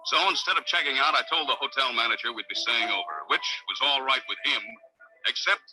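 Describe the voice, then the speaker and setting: An actor playing a tough, brisk American police detective in a clipped, hard-boiled 1940s radio serial style. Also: male voice, mid-range voice, animated, sharp tone, rough recording. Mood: brisk narrating. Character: tough, brisk male police detective narrating his case. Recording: old 1940s radio recording.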